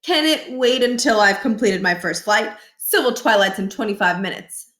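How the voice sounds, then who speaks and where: A woman's voice: mid-range voice, expressive, normal speed, fairly bright tone, reverberant, natural voice. A woman voicing a pompous, blustering middle-aged male former school principal from a children's storybook, with formal, huffy delivery. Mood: proud.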